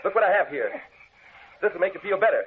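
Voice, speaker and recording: An actor playing a fearful male scientist in old-time radio horror, with halting, dramatic delivery. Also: sits high, big pitch swings, a little hiss or hum. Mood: coaxing. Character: fearful scientist falling helplessly under another's will. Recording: thin, muffled vintage radio recording.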